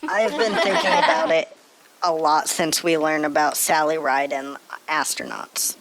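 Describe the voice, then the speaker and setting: A woman's voice: sits low, a little slow, a loud crowd, low-quality recording. A woman voicing a patient, thoughtful child puppet who explains big questions in a children's show. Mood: curious. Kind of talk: scripted children's puppet dialogue.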